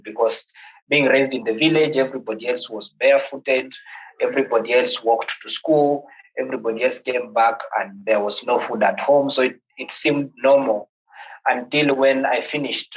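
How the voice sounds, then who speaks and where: A man's voice: mid-range voice, fairly bright tone, highs cut off. A man from Kenya, with a Kenyan accent, in his thirties, telling a podcast host his life story in a reflective way and often repeating words as he begins sentences. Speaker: guest.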